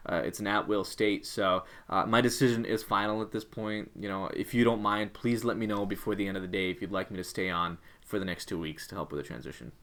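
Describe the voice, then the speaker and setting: Resigning male employee man acting, courteous, firm, composed, lowish pitch; workplace training role-play. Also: steady tone, brisk, hardly any pauses, fairly bright tone.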